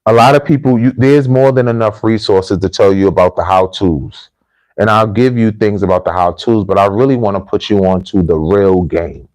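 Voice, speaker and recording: A man's voice: fairly low pitch, normal speed, darkish tone, low-quality recording. A man with an American accent hosting a casual livestream, talking informally to viewers and often repeating his phrases for emphasis. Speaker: livestream host and repatriation coach. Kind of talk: casual livestream talk, addressing viewers and telling anecdotes.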